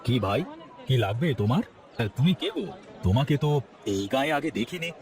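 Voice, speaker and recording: A man's voice: medium pitch, big pitch swings, fairly quick, a little mellow. A man voicing a kindly, trusting village grocer and husband in a cartoon. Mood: friendly, curious. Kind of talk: cartoon voice acting.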